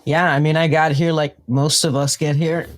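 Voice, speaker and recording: A woman's voice: low-pitched, level tone, moderate pace. A woman with a New York accent, explaining her work as a guest in an interview. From New York, United States. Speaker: podcast guest, consultant and trainer.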